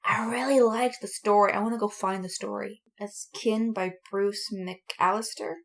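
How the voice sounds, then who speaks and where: A woman's voice: mid-range voice, fairly even intonation, moderate pace, vocal fry. A woman co-hosting a podcast, talking in an informal, enthusiastic and unscripted way. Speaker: podcast co-host. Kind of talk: casual chat about stories and media.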